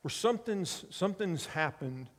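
A man's voice: high-pitched, animated, normal speed, in a large hall. A man in his fifties preaching a sermon, telling a story earnestly and drawing the congregation in. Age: 50s. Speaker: pastor and chaplain.

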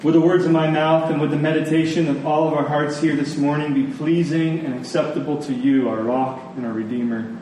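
A man preaching a sermon as a pastor, conversational and earnest, with rhetorical questions and emphatic pauses. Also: on the high side, fairly even intonation, dark tone. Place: large room, preaching to a congregation. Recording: echoey room, distant mic, faint noise.

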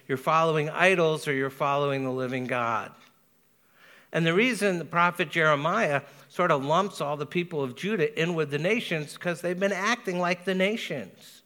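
A man preaching a sermon as pastor, speaking earnestly with a Spanish accent and slowing down to stress key words. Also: on the high side, pushed and bright. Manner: earnest, measured preaching with emphatic slow stresses.

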